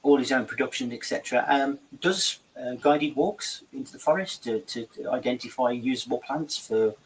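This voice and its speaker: Rambling husky-voiced male host. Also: medium pitch, moderate pace, poor audio. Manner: rambling, repetitive, chatty. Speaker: show host. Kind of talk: casual studio chat.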